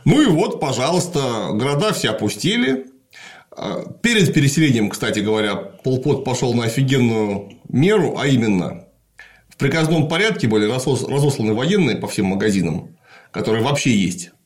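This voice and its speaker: A man in a casual conversation, explaining history at length in a lecturing way, often pausing with fillers. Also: medium pitch, very animated.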